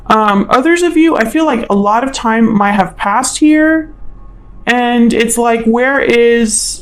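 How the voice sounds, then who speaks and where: A woman giving an informal tarot reading as host, musing and thinking aloud in a tentative way. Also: medium pitch. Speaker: tarot reader, channel host.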